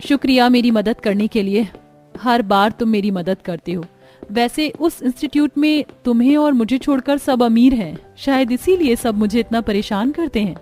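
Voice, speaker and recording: A woman's voice: on the high side, normal speed, mellow, faint music behind, close to an everyday voice. A woman voicing an earnest, outspoken young female student in a cartoon story. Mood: grateful, earnest.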